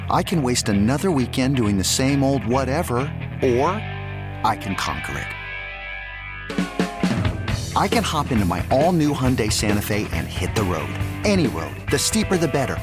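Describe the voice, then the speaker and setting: A man's voice: mid-range voice, very animated, moderate pace, natural voice. A man acting as an adventurous family man in a theatrical, playful scripted car commercial. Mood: playful, epic. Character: adventurous family man hyping a weekend escape. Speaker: commercial voice-over actor. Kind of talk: dramatic scripted car ad narration. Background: guitar music.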